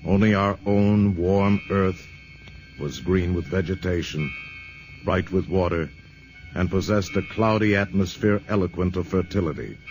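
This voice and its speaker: An actor playing a grave, solemn narrator in a 1950s radio drama, speaking in a measured, portentous way. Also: male voice, low-pitched. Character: grave, solemn narrator of an alien invasion tale. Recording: vintage radio broadcast with music behind.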